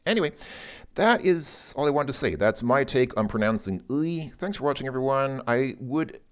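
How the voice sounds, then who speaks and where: A man's voice: medium pitch, expressive, normal speed, clear, resonant tone. A man who speaks English natively, talking through his thoughts in a loose, musing monologue and often repeating words while he thinks. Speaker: language learner vlogger. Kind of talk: casual spoken monologue.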